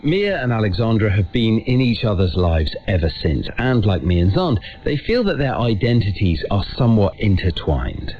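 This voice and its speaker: Guy narrating and interviewing, really animated deep-ish voice, kinda lo-fi audio. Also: moderate pace, few pauses. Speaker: documentary presenter and interviewer. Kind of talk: scripted narration and casual interview questions. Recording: muffled, lo-fi radio sound.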